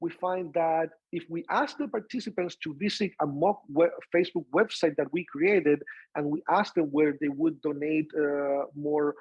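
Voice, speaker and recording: A man with a Chilean accent giving an academic seminar talk over a video call, often pausing on "uh" and restarting phrases. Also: a little high, husky, no room sound. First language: Spanish. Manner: frequent "uh" fillers and restarts. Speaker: professor presenting research. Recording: dull lo-fi video call audio.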